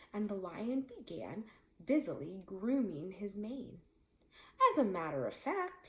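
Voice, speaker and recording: Female read-aloud storyteller, expressive children's narration, widely varied pitch, roomy echo. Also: slight background noise. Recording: echoey room.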